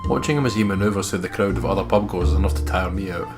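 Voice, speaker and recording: Male let's play co-host, lowish pitch, reads narration plus joking sweary asides, clear game music underneath. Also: rapid-fire. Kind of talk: reading game narration aloud with casual commentary.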